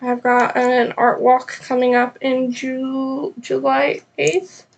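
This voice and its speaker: A woman's voice: sits high, level tone, takes it slowly, gravelly, muffled recording. A woman with a stuffed-up nose chatting casually and rambling on a live knitting stream, with many ums.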